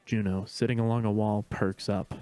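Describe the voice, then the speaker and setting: Low, even-voiced male narrator. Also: normal speed, smooth. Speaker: narrator reading stage directions. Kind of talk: scripted narration.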